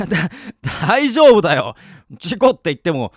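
A man plays an uneasy young man in a radio drama, high in pitch and very animated in intonation. He speaks casually but hesitantly, as if covering something up. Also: a little slow, slightly rough, dry recording, studio quality, exaggerated voice. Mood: evasive, nervous. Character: evasive, anxious young man pleading for his friends. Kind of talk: Japanese audio drama acting.

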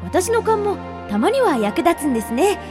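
A woman playing a polite, gentle young female pen pal reading her letters in a radio drama. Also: very high-pitched, very animated, moderate pace. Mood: gentle, relieved. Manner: polite, demure. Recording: clear music bed under the voice.

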